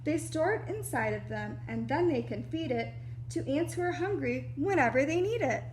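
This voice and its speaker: A woman reading a children's picture book aloud like a preschool teacher, animated and explanatory, with little asides to young listeners. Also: high voice, normal speed, a little hiss or hum, rough recording.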